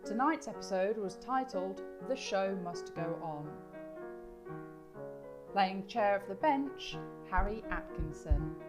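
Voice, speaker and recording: Female announcer for scripted drama credits, measured slow delivery, medium pitch, prominent piano underneath. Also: animated. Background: piano music.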